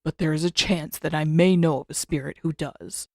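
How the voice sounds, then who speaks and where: A calm old male spirit mentor from a fantasy storybook, voiced by a woman. He speaks formally and gravely, with a measured delivery set lower, in a dry recording. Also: dry recording.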